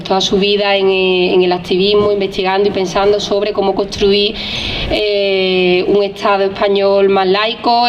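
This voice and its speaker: A woman with a Spain Spanish accent and a cold, introducing a debate as moderator in a halting way that often repeats words. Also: medium pitch, slight background noise. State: congested with a cold. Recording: distant, dull room recording.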